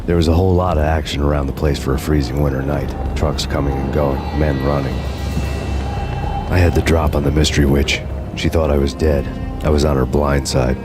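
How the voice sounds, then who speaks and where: Game noir narrator: a world-weary American cop, extremely low, flat delivery, deadpan, over music. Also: male voice, fairly quick. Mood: grim, brooding. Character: brooding, world-weary hard-boiled American cop narrating his revenge. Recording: music under the voice.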